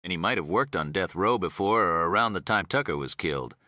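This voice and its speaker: A man's voice: low voice, animated, fairly quick, bright, forceful tone, no room sound, clean studio sound. A man voicing a brusque, impatient, driven criminalist detective in an audiobook. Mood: urgent. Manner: impatient, curt.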